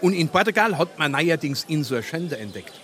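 Pompous Darmstadt family man, highish animated voice, lecturing comedy delivery. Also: moderate pace. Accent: Hessian, Darmstadt dialect. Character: self-important middle-aged family man, newspaper-mad armchair politician. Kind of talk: dialect stage comedy acting.

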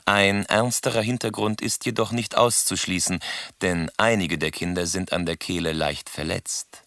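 An actor playing a sober newspaper reader in a radio play, measured and formal. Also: male voice, low-pitched, fairly even intonation, fairly bright tone, dry recording. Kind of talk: radio drama reading of a newspaper report. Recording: radio play with faint background ambience.

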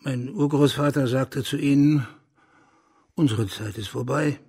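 An actor playing a burly, blunt and garrulous hunter from an old landed family in a German radio drama, with a gravelly, husky voice. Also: male voice, medium pitch, unhurried, dark tone. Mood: garrulous, nostalgic. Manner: blunt, rambling, earthy, self-correcting.

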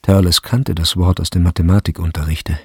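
A man giving measured, deliberate narration in a literary radio drama. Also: low voice, fairly even intonation, slightly rough, no echo, clean studio sound.

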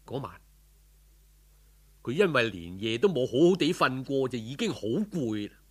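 A man's voice: mid-range voice, very animated, moderate pace, breathy edge. A man with a Cantonese accent narrating a folk tale as a dramatic storyteller.

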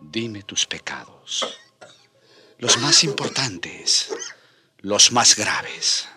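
A man's voice: on the low side, animated, drawn-out words. An actor playing an earnest, compassionate friar in a radio drama, speaking in a solemn, fatherly, emotive way. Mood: gentle, solemn. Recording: radio drama with music behind.

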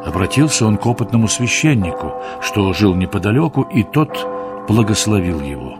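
A man's voice: lowish voice, very animated, normal speed. A man narrating a radio play in a scripted storytelling style. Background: music under the voice.

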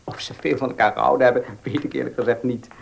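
An actor playing an earnest, proud young farmer in a radio play, husky and plain-spoken, with emotional delivery. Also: male voice, mid-range voice, steady tone, fast talker, a little hiss or hum. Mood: eager, courting. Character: earnest young horse-loving farmer, proud, short of money. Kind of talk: Dutch radio play acting.

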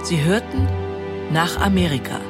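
A woman's voice: lowish voice, animated, normal speed. A woman reading a scripted announcement for an audio production. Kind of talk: scripted closing credits announcement. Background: music.

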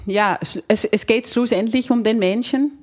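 Dutch-accented woman, native Dutch speaker, explaining her field in a relaxed interview, pausing for words. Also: fairly high pitch. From Netherlands. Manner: thoughtful, searching for words, occasional grammar slips. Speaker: ergonomist, guest. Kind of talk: conversational interview.

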